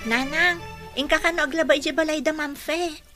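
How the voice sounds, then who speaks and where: Radio drama role: actress as helpful schoolgirl daughter, high bright voice. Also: steady tone, normal speed, soft background music. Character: dutiful, helpful young student daughter.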